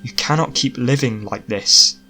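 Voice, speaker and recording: Man as old physician, caring, admonishing, earnest, forceful tone, storybook reading. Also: medium pitch, measured pace, faint music behind. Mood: stern concern. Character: worried old male physician, caring guardian.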